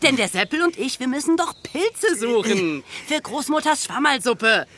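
An actor voicing a cheeky, quick-witted young puppet hero in a children's radio play, perky and playful. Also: male voice, very high-pitched, big pitch swings, bright, forceful tone, a little hiss or hum, exaggerated voice. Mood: cheerful.

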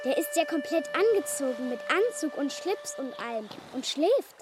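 A woman's voice: high-pitched, big pitch swings, normal speed, over music. An actress playing a bold, bossy, adventurous young girl captain, cheeky and commanding in a children's radio play.

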